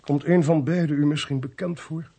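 An actor playing a brisk, inquisitive police detective who questions deliberately in a radio play. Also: male voice, on the high side, steady tone, creaky, mellow, slightly rough. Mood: polite, probing. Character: inquisitive male detective leading an arson investigation. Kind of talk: Dutch radio play acting. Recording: faintly noisy radio play recording.

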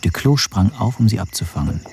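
A bitter, weary man in his thirties narrating a radio drama. His voice is fairly low and soft-edged, darkly mellow. A faint noise sits behind it. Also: sing-song, fairly quick, vocal fry. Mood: reflective. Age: 30s. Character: bitter, weary young stateless man recounting his arrest. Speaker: first-person narrator and lead.

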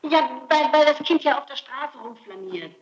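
A woman's voice: sits high, expressive, moderate pace, reverberant, muffled recording. A woman being questioned as a suspect, answering with insistent, pleading and emphatic denials on an archival interrogation tape. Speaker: suspect under police interrogation.

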